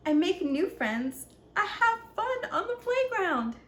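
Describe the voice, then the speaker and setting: A woman's voice: a little high, animated, measured pace. A woman voicing an earnest young ninja from a children's storybook, explaining things simply to children. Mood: earnest, instructive. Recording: echoey room, faint background noise.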